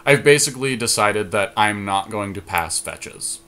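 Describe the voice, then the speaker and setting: Male podcast co-host, low-pitched, conversational, talking through game decisions aloud in a casual commentary style. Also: animated, moderate pace, bright, forceful tone.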